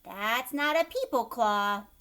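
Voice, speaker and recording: A woman plays a young storybook girl who doubts what she is shown. She speaks in a high, lively voice. Each objection is deliberate, emphatic and matter-of-fact. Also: heavily acted. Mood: skeptical. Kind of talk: children's storybook read-aloud.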